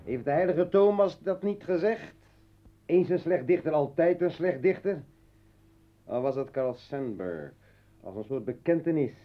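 An actor playing a defiant, sardonic revolutionary and failed poet in a radio drama, with a mocking, theatrical manner. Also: male voice, on the high side, animated, measured pace. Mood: wry, mocking. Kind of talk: Dutch radio drama acting. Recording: muffled old radio play recording.

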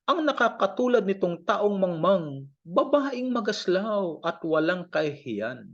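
Male Filipino audio Bible reader. Slow, measured verse recitation with animated pitch; slightly muffled lo-fi sound. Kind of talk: scripted scripture reading.

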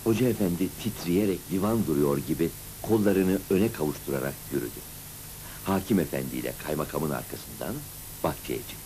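An actor narrating a cartoon as a grown man recalling his boyhood school days, in an expressive, scripted storybook manner. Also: rumbling low, brisk, a little hiss or hum, poor audio. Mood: reminiscing, measured.

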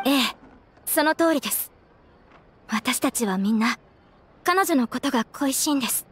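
A woman's voice: high voice, animated, moderate pace, slight background noise. A woman voicing a polite, devoted, wistful young theatre performer in a game, earnest and formal. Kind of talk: Japanese game voice acting.

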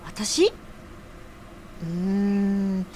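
A woman acting a romantic, bossy senior colleague in an audio drama, with drawn-out feminine phrasing. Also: medium pitch, flat delivery, a little mellow, a little hiss or hum. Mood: playfully demanding. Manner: drawn-out, feminine, nudging speech.

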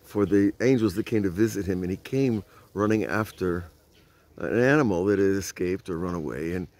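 A man's voice: low voice, moderate pace, darkish tone. An older man, a rabbi, giving an informal Torah lesson outdoors at night, filmed on a phone held at arm's length, telling the story conversationally and putting rhetorical questions to his listeners. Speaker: rabbi teaching. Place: outdoors at night by old stone walls. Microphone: phone camera at arm's length.

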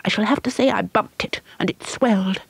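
A woman's voice: medium pitch, a little fast, gravelly, slightly rough, no echo, pitched above the performer's own voice, rough recording. A woman voicing a scornful, meddling little girl who turns sulky and pleading. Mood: worried. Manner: bossy and sulky.

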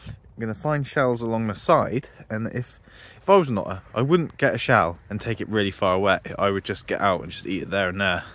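A man's voice: lowish voice, fairly quick, a bit bright. A man vlogging outdoors on his farm, chatting casually and thinking aloud as he walks. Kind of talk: casual outdoor vlog narration. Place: outdoors on a farm by a ditch and fields.

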